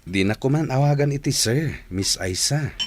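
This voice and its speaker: A man's voice: mid-range voice, expressive, moderate pace, natural voice. A man with an Ilocano accent playing a courteous, earnest engineer in a radio comedy drama. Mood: teasing. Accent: Ilocano Filipino.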